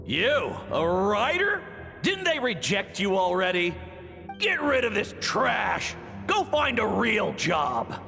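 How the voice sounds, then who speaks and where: Gravelly, high-pitched scolding bully. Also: male voice, wildly swooping pitch, slow, full character voice. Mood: contemptuous. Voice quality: gravelly, strained. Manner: scolding, forceful. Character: harsh, contemptuous bullying male authority figure. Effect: echoing reverb. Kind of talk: scripted video game voice acting. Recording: long echoing reverb, music underneath.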